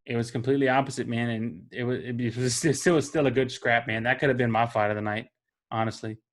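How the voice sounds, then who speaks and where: A man's voice: medium pitch, fairly even intonation, quick pace. A man co-hosting a casual sports chat, laid-back and chatty. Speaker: podcast co-host.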